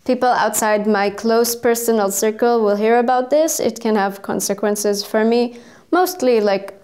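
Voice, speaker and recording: A woman's voice: a little high, a little mellow. Hesitant young woman. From Israel. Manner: hesitant, careful, many pauses and fillers. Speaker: student. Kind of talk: interview answers.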